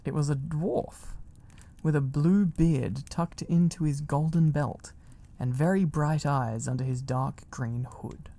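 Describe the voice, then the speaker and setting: A man narrates a storybook for ASMR in a dark, mellow voice with plenty of creak. His delivery is gentle, cosy and unhurried. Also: fairly high pitch. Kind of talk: soft storybook reading, ASMR.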